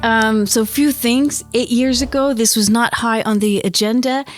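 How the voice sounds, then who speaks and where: A woman's voice: on the high side, fairly even intonation, unhurried, faint music behind. A woman hosting a podcast interview, asking questions with eager reactions. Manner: enthusiastic, warm interviewer with reactions like "wow". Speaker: podcast host.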